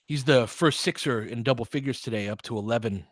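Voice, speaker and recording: Male basketball play-by-play host, medium pitch, casual and conversational analysis, very dry sound. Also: a little fast, dry recording. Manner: conversational, thinking aloud. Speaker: host, fan play-by-play commentator.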